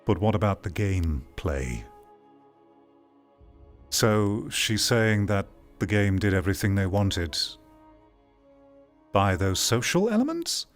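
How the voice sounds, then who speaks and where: A man's voice: on the low side, sing-song, takes it slowly, pauses often, faint music behind. A man giving off-the-cuff, opinionated critical commentary as a streamer, stressing points by repeating phrases. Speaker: streamer hosting a VR gaming commentary show.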